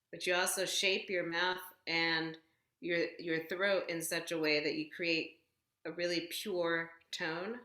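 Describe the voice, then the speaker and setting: A woman singer speaking as a guest, explaining things in a measured, conversational way. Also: low-pitched, bright, forceful tone, roomy sound. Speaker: guest vocalist. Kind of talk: conversational discussion of singing technique.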